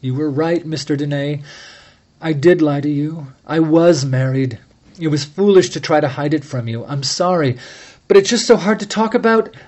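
A shaky, pleading young woman whose words catch and stumble, as a male reader puts her on. The voice sits high and breaks with grief, an audiobook figure pressed into a corner. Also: moderate pace, darkish tone, close to an everyday voice. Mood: tearful. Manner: faltering, tremulous, stammers under pressure. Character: grieving, tearful young woman, evasive and pleading.

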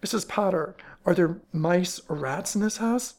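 A woman doing a brisk, impatient detective. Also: fairly low pitch, natural voice. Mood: sharp. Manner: sharp, clipped questioning.

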